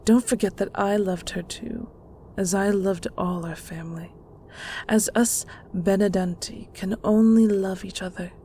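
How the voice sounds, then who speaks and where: A woman playing a stern, manipulative mother in an audio drama, condescending and deliberate, with a creaky, often hushed voice. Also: medium pitch, slight background noise. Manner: slow, deliberate, condescending, with terms of endearment. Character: stern, manipulative mother of a secret magical family. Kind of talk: scripted audio roleplay drama.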